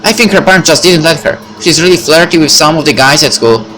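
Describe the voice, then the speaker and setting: A man's voice: a little high, animated, quick pace, bright, forceful tone, soft background music, voice pushed up, low-quality recording. A man voicing a chatty, teasing teenage schoolgirl from a visual novel. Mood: chatty banter. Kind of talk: visual novel character read-aloud.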